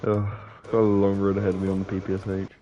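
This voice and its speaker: Male gamer, 19, low-ish voice, dry deadpan asides, laid-back. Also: fast, dull audio. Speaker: streamer and co-host. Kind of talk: casual gaming stream banter.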